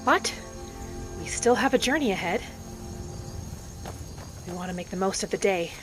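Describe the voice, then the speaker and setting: A woman playing an earnest, devout wood elf wanderer, narrating a fantasy game roleplay in measured, formal phrasing. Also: medium pitch, animated, close to an everyday voice. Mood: calm, reflective. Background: music.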